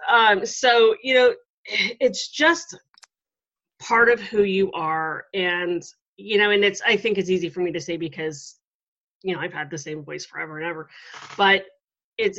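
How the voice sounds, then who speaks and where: A middle-aged woman hosting an interview, talking in a relaxed, reflective way with lots of ums and you-knows. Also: medium pitch, moderate pace. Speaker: podcast host. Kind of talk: conversational interview. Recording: dull lo-fi audio.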